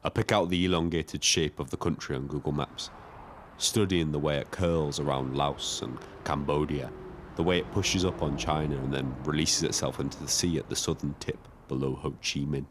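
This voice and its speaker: An actor playing a lonely, reflective taxi driver in an audio drama, telling his story in a measured, literary way. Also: extremely low pitch, fairly even intonation, background noise. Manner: wordy, literary, measured first-person storytelling. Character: Frank, lonely reflective male Brighton taxi driver and diarist. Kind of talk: scripted dramatic diary narration.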